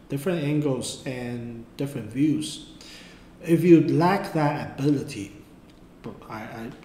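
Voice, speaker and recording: Man, Mandarin first language, art teacher; unhurried, hesitant delivery, roomy echo. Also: mid-range voice, darkish tone. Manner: halting, many fillers and restarts. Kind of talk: unscripted drawing lesson. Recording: roomy echo, faint background noise.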